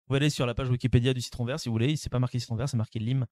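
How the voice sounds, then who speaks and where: Mellow-voiced man chatting as quiz host. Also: mid-range voice, steady tone, racing. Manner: chatty, explains with many fillers and self-deprecating asides. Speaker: podcast host running a quiz. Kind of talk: casual chat.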